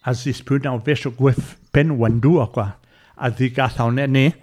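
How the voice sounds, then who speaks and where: A man's voice: medium pitch, fairly even intonation, moderate pace, darkish tone. A man chatting casually in conversation.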